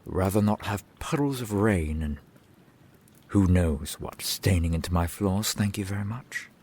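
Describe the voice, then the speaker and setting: A man playing a gruff, grumbling but reluctantly kind fantasy town blacksmith in a slow, dry ASMR roleplay. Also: low-pitched, full character voice. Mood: exasperated, dry. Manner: curt, grumbling, dry, wordy sarcasm. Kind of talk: ASMR roleplay, slow scripted character acting. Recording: steady rain sound behind the voice. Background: rain falling.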